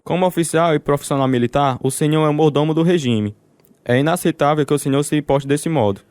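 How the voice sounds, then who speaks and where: An actor with a Brazilian accent playing a devout, steadfast prisoner who tells his story in measured, earnest radio drama style. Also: a little high, darkish tone, clean tone, no echo, lightly acted. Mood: firm, devout. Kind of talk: dramatized first-person narration, radio drama.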